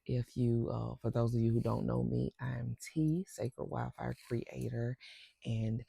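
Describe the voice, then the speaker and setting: Stuffy-nosed woman leading a breathwork ceremony, super gravelly voice, kinda dark and mellow, soft and whispery. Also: very deep voice, flat delivery, no echo. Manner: slow and soft, often dropping to a whisper. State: stuffy nose from a cold. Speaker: spiritual circle facilitator. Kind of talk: guided intention ceremony with breathwork.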